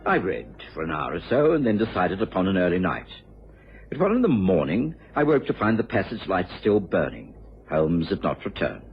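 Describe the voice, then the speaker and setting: Muffled radio audio with faint music behind it. a loyal gentleman narrator, a man with a low, animated voice, mild and gently concerned as he tells the tale. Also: moderate pace, exaggerated voice. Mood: reflective narration. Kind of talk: radio drama narration and dialogue. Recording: muffled old radio drama recording.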